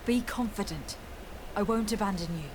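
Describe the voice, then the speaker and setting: A woman's voice: medium pitch, moderate pace, noisy background, close to an everyday voice. An actress playing a composed, resolute noblewoman in a video game, speaking formally and with assurance. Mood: reassuring, resolute.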